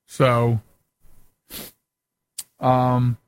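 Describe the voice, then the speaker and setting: A man's voice: medium pitch, monotone, extremely slow, clear, resonant tone, dry recording. A man hosting a radio show in a casual, rambling, enthusiastic chat style.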